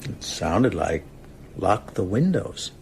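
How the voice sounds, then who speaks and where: An actor playing a calm, dryly humorous hospital doctor in a radio drama, unhurried and dry. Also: male voice, low-pitched, creaky, slight background noise, full character voice.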